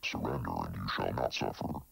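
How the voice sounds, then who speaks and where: A man voicing a menacing inhuman hive mind in an analog horror broadcast, with a raspy, distorted growl and threatening declarations. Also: rumbling low, lively intonation, brisk, a bit bright, heavily acted. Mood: cold menace.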